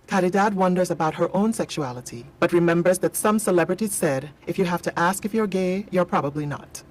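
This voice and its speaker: Low-voiced woman narrating a radio drama. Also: poor audio. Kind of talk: scripted educational narration.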